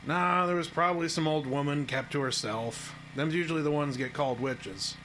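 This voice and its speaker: A man's voice: on the high side, normal speed, sharp tone, a little hiss or hum. An actor playing a plain-spoken small-town handyman in an audio drama, folksy, skeptical and matter-of-fact. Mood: folksy storytelling.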